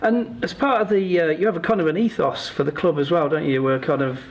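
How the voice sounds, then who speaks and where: A man's voice: a little high, brisk. A man hosting a casual interview, putting informal questions to a guest. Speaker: interviewer, sports psychology coach.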